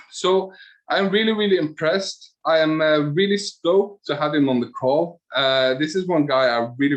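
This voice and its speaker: A man introduces his guest on an online call, full of enthusiasm and filler words. His voice sounds a bit muffled and lo-fi. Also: a little high.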